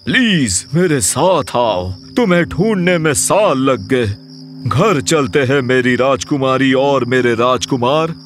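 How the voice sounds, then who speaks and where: A man voicing a fawning, scheming magical guardian in an animated fairy tale, with drawn-out, pleading flattery. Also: medium pitch, soft background music. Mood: earnest, persuasive. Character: fawning, scheming magical male guardian deceiving royal children.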